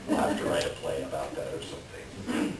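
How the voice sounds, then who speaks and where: Low-voiced man hosting in an echoey room. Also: monotone, fairly quick. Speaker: host introducing a play reading. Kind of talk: informal stage introduction to an audience. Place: library event room. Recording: echoey room, distant mic, clear background noise.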